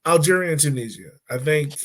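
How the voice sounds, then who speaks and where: A man hosts a casual football podcast in a relaxed, chatty way and laughs easily. His voice sits a little high and moves up and down a lot as he talks through the games. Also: a little fast. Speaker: podcast host. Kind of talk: casual sports chat.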